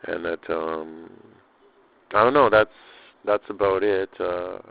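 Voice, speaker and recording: Deep-voiced man rambling on a lo-fi recording. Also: slow pace, gravelly, no room sound. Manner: loose, slangy, swearing, repeats himself. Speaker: personal audio diarist. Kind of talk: casual rambling audio diary.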